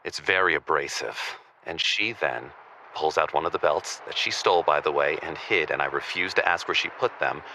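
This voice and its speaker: A man playing a stubborn, prim intellectual caller on a radio comedy show, precise and deadpan in his indignation. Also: rumbling low, slight background noise, exaggerated voice, rough recording. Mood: deadpan grievance. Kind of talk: radio call-in comedy drama.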